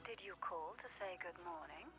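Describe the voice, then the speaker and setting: A man's voice: high voice, moderate pace. A man voicing a suave, teasing character in a fantasy video game, with music around the voice. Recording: game audio under loud music. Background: loud game music.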